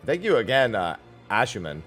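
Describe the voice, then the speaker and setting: A man's voice: on the low side, big pitch swings, moderate pace, a bit bright, soft background music. A man chatting casually as he hosts a livestream of a video game. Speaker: game streamer.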